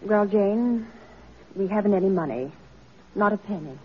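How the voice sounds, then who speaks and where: Lively-pitched, anxious woman confidante played by an actress. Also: normal speed, natural voice. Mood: worried, downcast. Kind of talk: vintage radio drama acting. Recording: dull, noisy old radio recording.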